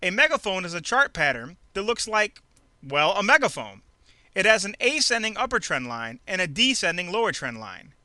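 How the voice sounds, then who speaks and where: A man reading a scripted instructional narration in a clearly enunciated, measured, teacherly way. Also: high-pitched, very animated, bright, forceful tone, dry recording. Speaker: narrator of an instructional trading video. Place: close dry voice-over recording.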